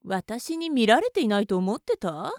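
A woman's voice: fairly high pitch, very animated, normal speed, a little mellow, no room sound, studio quality. A woman acting a contemptuous, domineering young woman in an adult audio roleplay, mocking and bossy. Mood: scornful.

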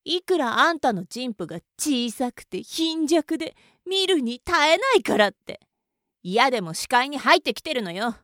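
A woman's voice: high voice, big pitch swings, moderate pace, a bit bright, no echo, clean studio sound. A woman acting a contemptuous, domineering young woman in an adult audio roleplay, mocking and bossy. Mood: scornful.

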